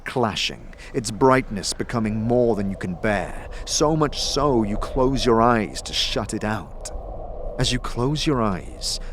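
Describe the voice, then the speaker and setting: A man narrating a dramatic second-person story as a game master, in a measured, deliberate, atmospheric manner. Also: on the low side, fairly bright tone, a little hiss or hum. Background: music.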